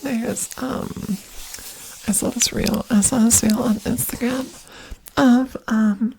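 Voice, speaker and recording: A woman whispering in an earnest ASMR talk, breathy, with giggly asides. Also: a little high, steady tone, slow pace, darkish tone, a little hiss or hum, poor audio. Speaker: ASMR creator.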